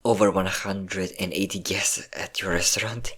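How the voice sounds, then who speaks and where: Performer as fragile young best friend for intimate audio drama: low register, depressive, insecure, halting, emotionally open. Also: steady tone, fairly bright tone, slightly rough. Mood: soft, affectionate. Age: young adult.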